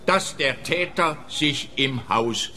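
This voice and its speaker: An actor playing a stolid, self-important policeman in a radio crime play, speaking with emphatic, official, halting phrasing. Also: mid-range voice, animated, bright, forceful tone.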